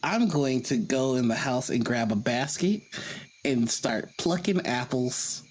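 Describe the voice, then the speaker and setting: A man takes his turn as a player in a tabletop roleplaying game. He talks casually and slowly, at a medium pitch. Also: expressive, slightly rough, low-quality recording.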